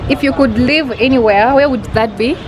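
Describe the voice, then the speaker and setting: Brisk woman asking questions on the street. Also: sits high. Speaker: off-camera interviewer. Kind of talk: rapid-fire interview questions. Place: busy city street outdoors. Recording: street traffic noise.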